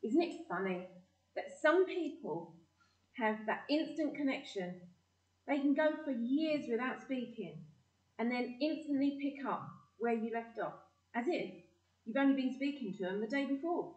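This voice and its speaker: A woman's voice: fairly high pitch, lively intonation, measured pace, darkish tone. A woman with a British accent playing a wistful, teasing old sweetheart in an amateur audio play. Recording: echoey room, distant muffled mic.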